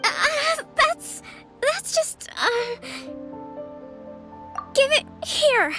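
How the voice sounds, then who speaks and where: An actress voicing a gentle, weary young priestess leader in a video game, with a soft, hesitant, confiding manner. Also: female voice, extremely high pitch, animated, very slow, full character voice. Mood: flustered. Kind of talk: scripted video game voice acting. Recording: music behind the voice. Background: game background music.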